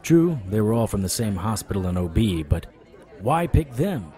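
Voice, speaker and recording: An actor playing a stubborn, wisecracking supernatural private investigator in an audio drama, wry, flirtatious and persuasive. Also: male voice, on the low side, sing-song, moderate pace, mellow, a faint crowd. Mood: determined. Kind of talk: audio drama acting with noir internal monologue.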